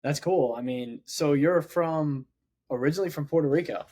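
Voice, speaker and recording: A man's voice: a little high, moderate pace, mellow. A man hosting a podcast, asking loose, conversational questions with plenty of fillers. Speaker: podcast host. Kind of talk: casual interview.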